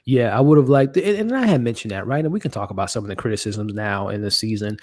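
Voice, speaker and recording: A man's voice: on the low side, expressive, quick pace, no echo. Nasally man rambling on a live stream. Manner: rambling, many restarts and fillers. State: nasally from allergies. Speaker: host of a live watch-along stream. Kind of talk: casual live-stream commentary, reading chat.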